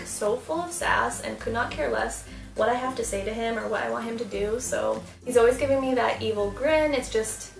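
Young mom vlogger, gushy and bubbly, a bit gravelly, roomy echo, sitting back from the cam. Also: female voice, fairly high pitch, far from the mic. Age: young adult. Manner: bubbly, gushing, lots of "super" and "like". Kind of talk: casual product haul. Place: small room indoors, seated before louvered doors.

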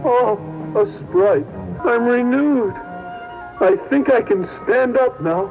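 Comic, guileless yoga pupil in vintage radio spot; high voice, muffled, music behind. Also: male voice, slow pace. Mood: earnest, comic. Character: naive, eager male yoga pupil in comic ad. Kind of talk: comic radio commercial acting.

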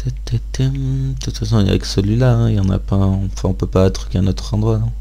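Man, fairly low voice, gaming video host, casual commentary, informal, thinking aloud. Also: steady tone, a little fast, gravelly, mellow.

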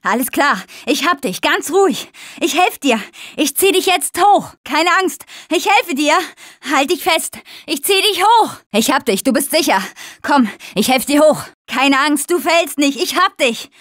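A woman's voice: sits high, animated, measured pace, fairly bright tone, no echo, studio quality. A young woman acting a spirited, caring apocalypse survivor in a video game, speaking in short, emphatic phrases. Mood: calm, reassuring. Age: young adult.

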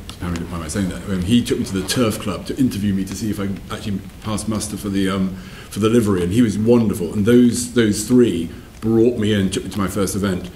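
A man of about sixty reminiscing in an interview, repeating phrases for emphasis between hesitant pauses. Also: low-pitched, darkish tone, roomy sound, a little hiss or hum. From south-west England. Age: around 60. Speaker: interviewee, whisky company managing director. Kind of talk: reflective interview storytelling. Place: wood-panelled room by a fireplace, indoors.

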